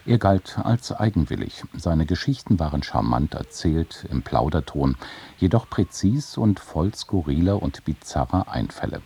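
A man's voice: extremely low pitch, big pitch swings, a little slow, vocal fry, mellow, breathy edge. A man with a standard German accent reading a scripted programme announcement as a radio presenter.

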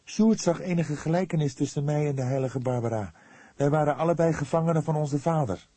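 A man voicing an earnest, devout young woman in an audiobook, speaking patiently and with solemn emphasis, quick to defend herself. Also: a little high, warm tone, breathy edge, no echo, pitched above the performer's own voice. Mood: earnest, explaining.

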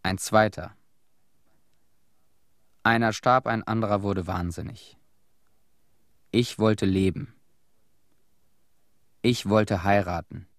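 A low-pitched portrayal of an embittered, ailing young veteran. He gives sombre radio-play testimony in short, terse sentences, recorded close and dry. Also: steady tone, slow, pauses often, dry recording. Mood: grim, matter-of-fact.

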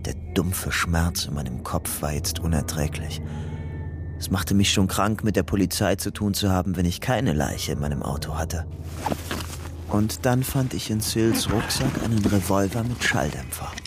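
A man's voice: low voice, lively intonation, normal speed. An actor playing a young ex-convict father-to-be in a radio crime drama, terse and hard-boiled, partly narrating his own story. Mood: grim narrating.

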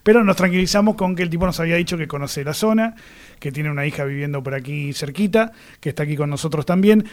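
Guy hosting a radio show, joking around with his guest, voice a bit on the high side. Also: quick pace. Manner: chatty, informal, joking. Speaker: radio host. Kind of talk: radio interview. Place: radio studio.

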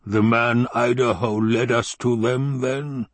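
A man acts out a scheming, tyrannical baron in a dramatised audiobook. The voice rumbles, husky and drawn-out, with imperious contempt in a close, dry recording. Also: fairly even intonation, no room sound. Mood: cold questioning. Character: menacing, scheming, obese male baron and tyrant.

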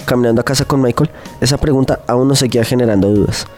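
A man's voice: medium pitch, fast talker, darkish tone, soft background music. A young man with a Colombian accent reading a scripted first-person story for student radio. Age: teens to young adult.

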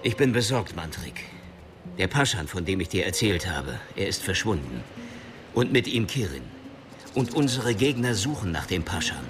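Deep-voiced, grave secret agent. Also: male voice, very animated, moderate pace. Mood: grave, worried. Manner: earnest, foreboding. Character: grave, loyal male secret agent, old comrade. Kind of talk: radio play acting. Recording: music behind the voice.

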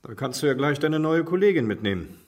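An actor playing a blunt, familiar police colleague in a German radio drama. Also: male voice, fairly high pitch, big pitch swings, fairly quick. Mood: matter-of-fact. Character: matter-of-fact male police colleague, bluntly chummy.

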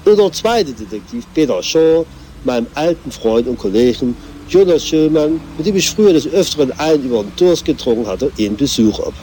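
Rambling detective-spoof narrator played by a man, soft mellow tone, lively intonation, faint vehicle noise behind. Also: fairly high pitch. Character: rambling storybook narrator of a detective parody, male. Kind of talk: scripted narration.

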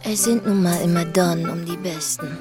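A woman's voice: on the low side, fairly even intonation, normal speed, over music, close to an everyday voice. A woman playing a doting mother in a radio drama, speaking affectionately and soothingly. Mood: tender. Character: tender, doting mother of a teenage boy. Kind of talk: German radio drama acting.

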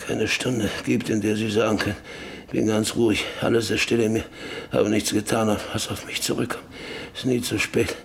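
Gravelly, grief-broken father. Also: fairly low pitch, flat delivery. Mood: tormented, remorseful. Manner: halting, brooding, repeats himself. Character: grief-stricken, guilt-ridden father and oil landowner, brooding, broken. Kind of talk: German radio drama acting.

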